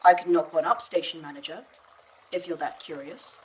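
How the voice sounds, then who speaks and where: A woman playing a literal-minded, obliging artificial intelligence in an audio drama, formal and measured. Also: low-pitched, steady tone, slight background noise, lightly acted, rough recording. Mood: composed, precise. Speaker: voice actor in a fiction podcast.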